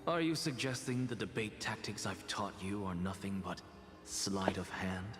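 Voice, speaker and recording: An actor playing a proud, wry professor in a video game, theatrical and measured, with a sardonic fondness. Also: male voice, on the low side, steady tone, a bit bright, lightly acted. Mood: wry, fond. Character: proud, wry male professor, dryly fond. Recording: long reverb, music behind.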